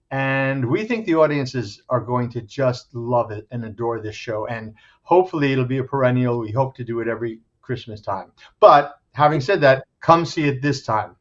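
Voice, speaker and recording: A man giving an enthusiastic, descriptive interview as a theater director promoting a show. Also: mid-range voice. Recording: dull, muffled lo-fi sound.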